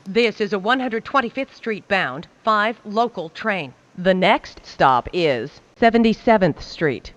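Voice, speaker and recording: Slow, measured female transit announcer. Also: mid-range voice, lively intonation, no room sound. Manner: measured, carefully enunciated, phrase by phrase. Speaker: subway train announcer. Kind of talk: scripted automated transit announcements.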